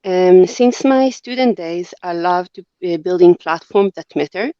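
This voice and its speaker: A woman's voice: fairly low pitch, measured pace, dark tone, smooth, dry recording, highs cut off. A woman in her forties from Israel with an Israeli accent, a company head speaking earnestly in a podcast interview, with many fillers and restarts. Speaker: company CEO, guest.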